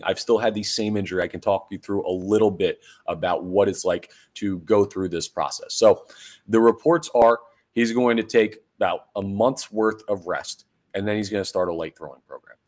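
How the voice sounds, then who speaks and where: A young man hosts a sports podcast on his own, his voice a little hoarse and deeper than usual after a night out. He talks casually and rambles with fillers. When things go wrong he turns dry and sarcastic. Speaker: podcast host. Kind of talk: casual solo sports talk.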